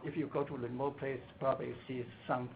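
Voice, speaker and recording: A man with a very gravelly, creaky voice talks haltingly on a seminar panel, repeating words as he goes. The recording is thin and phone-like, with a clear hiss under it. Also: medium pitch, flat delivery. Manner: halting, repeats words, non-native grammar.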